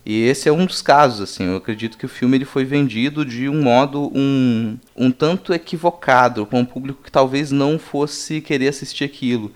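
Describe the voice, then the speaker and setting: Brazilian male panelist, mid-pitched, articulate and reflective, talking at length in casual discussion. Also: moderate pace, few pauses. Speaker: podcast panelist.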